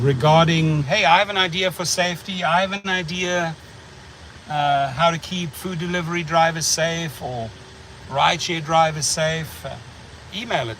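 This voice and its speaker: A man's voice: on the high side, fairly bright tone, slight background noise, poor audio. Blunt man with a South African accent. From United States. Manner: rambling, blunt, repeats words while thinking. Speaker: channel host. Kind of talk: casual livestream chat.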